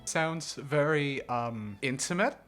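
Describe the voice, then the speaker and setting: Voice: medium pitch, animated, slow, fairly bright tone, faint music behind. A gamer giving casual, playful playthrough commentary and reading game text aloud. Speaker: gaming video creator.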